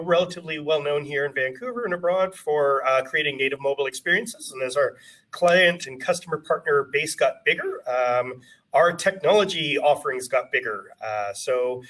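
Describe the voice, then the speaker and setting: A man's voice: on the high side, a bit bright, far from the mic, rough recording. A man giving a casual conference presentation from his company office, chatty and self-deprecating, with many fillers and restarts.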